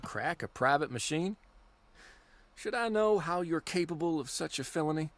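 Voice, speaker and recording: A folksy male lawman in a video game, speaking bluntly and with easy exasperation. His voice sits a little higher than most men's. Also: lively intonation, moderate pace. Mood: guarded, resigned.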